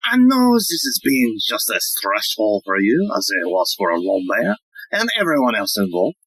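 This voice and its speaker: An actor playing a vain, bumbling police inspector in a comedic audio play. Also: male voice, lowish voice, huge pitch swings, moderate pace, a bit bright, breathy edge, no echo, heavily acted, poor audio. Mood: flustered, smitten.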